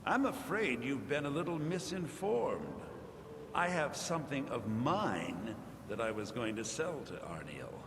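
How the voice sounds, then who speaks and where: A smug, insinuating mage trader from a fantasy game. The delivery is very melodic and condescending, with a long reverberation and clear ambience behind it. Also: male voice, mid-range voice, very animated, unhurried, noisy background. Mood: mocking, smug. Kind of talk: scripted video game dialogue.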